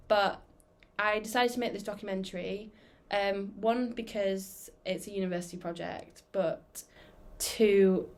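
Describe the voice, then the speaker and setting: Casual 21 y/o girl chatting to camera, lots of ums, soft music underneath. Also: medium pitch. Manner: chatty, with frequent "um" fillers. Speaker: documentary maker introducing her film. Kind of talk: casual self-introduction to camera.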